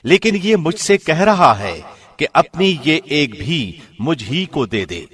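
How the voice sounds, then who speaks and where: A man reading a scripture translation from a script in a formal, measured, solemn manner. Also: on the high side, big pitch swings, fairly bright tone, breathy edge. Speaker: radio host reading a translation.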